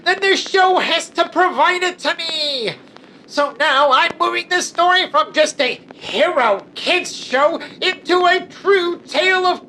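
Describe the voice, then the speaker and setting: A woman's voice: extremely high pitch, sharp tone, a little hiss or hum, heavily acted, rough recording. A woman voicing a gleeful, unhinged female supervillain who mocks the story she is in, cackling and cursing. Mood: menacing. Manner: mocking, cackling, profane. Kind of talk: comedic audio drama acting.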